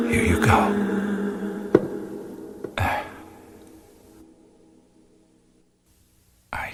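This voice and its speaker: A curious, wry explorer who mutters his way through the dark in hushed half-whispers, slow and unhurried, with a lively, swooping pitch. His voice sits fairly low. Music plays clearly under this radio-drama recording. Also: full character voice. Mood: awed. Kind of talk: 1970s-80s studio radio drama. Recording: studio radio play with music behind.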